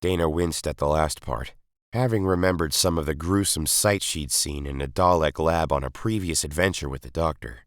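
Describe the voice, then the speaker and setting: A man's voice: extremely low pitch, moderate pace, no echo, studio quality. A man reading a scripted adventure story as an audiobook narrator.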